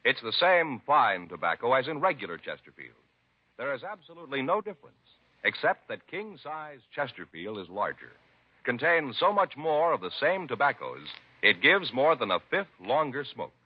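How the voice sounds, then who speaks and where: Vintage radio announcer, male, American: lowish, very animated intonation, emphatic scripted read, dulled old broadcast sound. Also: moderate pace, breathy edge, dry recording, lo-fi sound. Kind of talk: 1950s radio announcing, scripted narration and ad reading.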